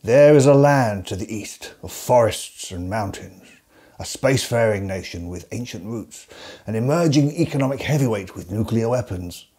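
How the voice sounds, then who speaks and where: Deadpan English man with a mellow voice. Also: fairly low pitch, moderate pace. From England. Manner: dry, deadpan and wry. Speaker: host. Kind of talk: scripted comedic narration. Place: indoors, seated at home. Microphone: facing camera at close range.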